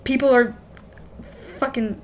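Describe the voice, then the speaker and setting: A young woman with a fairly high voice chats loosely as a podcast co-host. She is sardonic and slangy, slipping into mock quotes and laughing through her words. A faint layer of background noise is audible. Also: fairly even intonation, slow. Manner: laughs while talking. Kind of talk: casual chatty book discussion.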